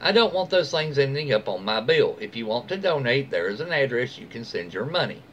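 Middle-aged man reading aloud, lively pitch. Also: brisk, a little hiss or hum. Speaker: story-reading host. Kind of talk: reading forum stories aloud with casual asides. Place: small room at home, seated in an armchair.

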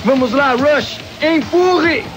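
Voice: high voice, lively intonation, takes it slowly, over loud music. A performer voicing a brave, earnest young boy robot hero in a dubbed cartoon. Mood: determined.

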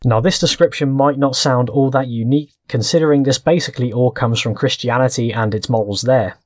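A man's voice: mid-range voice, fairly quick, no room sound, clean studio sound. A man with a British accent, narrating a scripted video essay as its host. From Britain.

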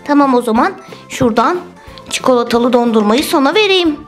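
A woman's voice: high-pitched, lively intonation, fairly quick, pitched below the performer's own voice. A woman voicing an agreeable, obliging ice-cream seller in a children's toy story. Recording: music bed under the voice.

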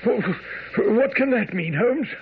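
An earnest gentleman recounting a radio mystery. His high voice swings widely and theatrically through each phrase. The recording is muffled, with slight noise. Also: animated, takes it slowly, vocal fry, full character voice. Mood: alarmed. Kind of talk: dramatic radio storytelling.

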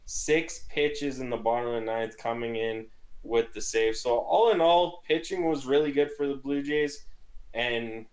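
A young man on a gaming headset talking through a baseball game recap from a small room at home, in quick, lively conversational analysis. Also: mid-range voice, poor audio. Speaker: baseball podcast co-host. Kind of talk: casual post-game recap.

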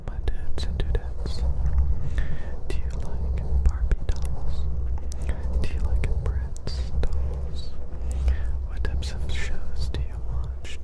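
Whispering young man doing ASMR. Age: young adult. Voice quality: hushed, mostly whispered. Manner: rambling, repeating phrases. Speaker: ASMR performer. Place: small dim indoor room. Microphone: two close studio mics with foam covers.

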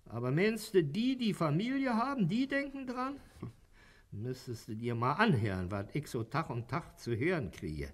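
Grumbling Berlin pub regular, animated. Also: male voice, fairly high pitch, moderate pace. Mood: worked up, griping. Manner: colloquial, griping. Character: grumbling, gregarious middle-aged insurance salesman at the pub. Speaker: actor. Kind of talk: 1960s German radio play acting.

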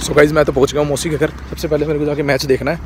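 A young man in his twenties, talking casually and excitedly to his phone camera on a road trip, from a car and by a lakeside. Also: on the high side, very fast. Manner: chatty, excitable, keeps saying "bhai". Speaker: vlogger. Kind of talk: casual travel vlog. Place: inside a car and outdoors by a dam. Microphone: phone camera at arm's length. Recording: phone recording with clear road and wind noise.

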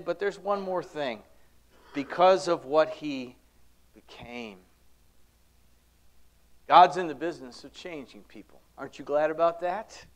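A middle-aged man preaching a sermon and reading scripture aloud, lifting his voice on key words and dropping it low at the ends of phrases. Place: church classroom with projection screen, indoors.

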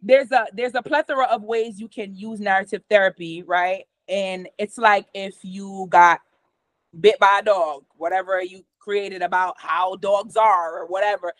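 A woman's voice: mid-range voice, unhurried, no echo. A middle-aged woman whose first language is patois, speaking English with a patois accent as a host, explaining therapy ideas steadily over a video call from a small room at home. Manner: explanatory, steady, gestures a lot with her hands. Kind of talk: casual conversation explaining therapy methods. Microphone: video call from a computer camera.

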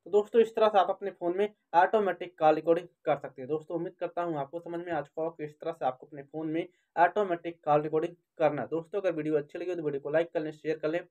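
Man, slightly high voice, very quick pace, instructional, repetitive, tutorial voice-over. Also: steady tone, dark tone, highs cut off. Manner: repetitive, instructional, addresses viewers as friends. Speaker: tech tutorial YouTuber and host. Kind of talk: step-by-step phone tutorial voice-over.

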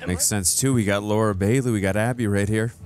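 A man's voice: fairly low pitch, steady tone, brisk. A man co-hosting a reaction show on a headset mic, chatting casually about the game scenes he is watching. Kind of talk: casual reaction chat over game footage. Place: indoor studio. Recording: music in background. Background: loud game soundtrack.

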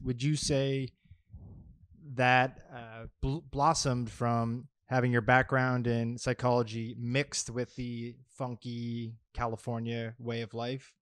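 A young man co-hosting a casual chat, asking questions in a relaxed, loose way into a handheld mic. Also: medium pitch, clean tone, no room sound. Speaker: co-host.

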